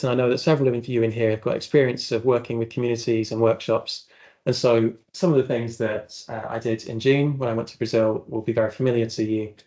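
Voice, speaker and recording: A man of ordinary, middle pitch presents his research in a university seminar room. He speaks in an earnest and reflective way. He chooses his words with care. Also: vocal fry, darkish tone. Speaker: academic speaker. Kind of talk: seminar talk with slides.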